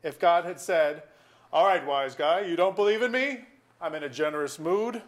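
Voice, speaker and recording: A man's voice: fairly high pitch, normal speed, close to an everyday voice. A bitter middle-aged man played by an actor in a stage play reading. He talks in wry, wordy, sarcastic turns, like a small-town lawyer nursing a grudge, in naturalistic dialogue. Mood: ranting. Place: auditorium.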